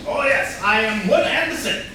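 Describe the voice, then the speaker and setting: A man's voice: high-pitched, lively intonation, moderate pace, pushed and bright, full character voice. An actor playing a pompous, boastful rich businessman with a blustering, self-important manner in a comedy play reading. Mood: haughty. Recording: very echoey room, distant mic, faint noise.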